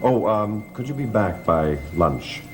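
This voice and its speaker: Guarded gothic TV gentleman; curt, dramatic intonation over clear background music. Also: lowish voice, lively intonation, a little slow. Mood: polite, uneasy. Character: guarded, brooding gentleman of a haunted family. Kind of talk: 1970s gothic television soap acting.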